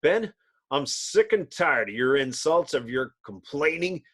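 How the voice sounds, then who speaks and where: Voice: medium pitch, animated, unhurried, fairly bright tone, breathy edge. A performer voicing an earnest, authoritative male scientist with a declarative, melodramatic delivery in a comic-book drama. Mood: fed up. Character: Reed, earnest authoritative scientist man, strained.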